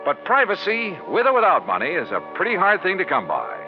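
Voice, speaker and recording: An actor playing a sharp, confident insurance investigator who narrates in brisk, wry 1950s radio detective style. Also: male voice, sits high, wildly swooping pitch. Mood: reflective, wry. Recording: muffled 1950s radio recording with music.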